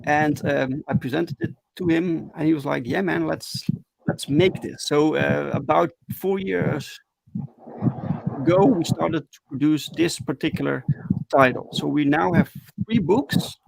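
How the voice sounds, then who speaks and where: A man from the Netherlands with a Dutch accent, a comic book creator chatting as a guest on a video call, halting with many ums and restarts. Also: a little high, creaky, no echo, poor audio. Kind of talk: casual interview chat.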